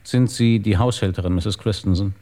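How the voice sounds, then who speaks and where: An actor playing a weary, probing police inspector in a German radio crime drama, terse and matter-of-fact. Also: male voice, lowish voice, moderate pace, warm tone, close to an everyday voice. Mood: probing, calm.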